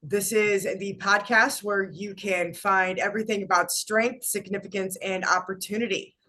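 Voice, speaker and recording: A woman's voice: mid-range voice, steady tone, moderate pace, pushed and bright. Stuffed-up nasal lady hosting her podcast, chatty, on a video call. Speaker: podcast host. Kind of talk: podcast interview.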